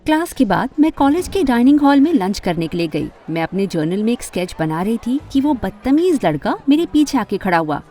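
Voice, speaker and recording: Teenage girl, high voice, very lively intonation, ambitious, dramatic cartoon storytelling. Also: brisk, few pauses, faint music behind. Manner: dramatic, expressive, mixes in English words. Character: ambitious fashion-loving teenage girl telling her story. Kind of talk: animated story narration and dialogue in Hindi.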